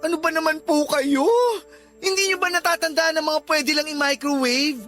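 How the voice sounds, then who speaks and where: An exasperated adult child in a radio drama, scolding an aging parent. The voice is high and piercingly bright, nagging away over faint background music. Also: female voice, steady tone, moderate pace. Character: irritated adult child scolding an elderly mother. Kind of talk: Tagalog radio drama acting.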